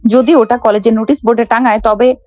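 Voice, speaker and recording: A woman's voice: on the high side, fairly even intonation, quick pace, a little mellow, no room sound, natural voice. A woman playing a haughty, hot-tempered rich young woman in an audio love story, imperious and scolding. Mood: furious, haughty.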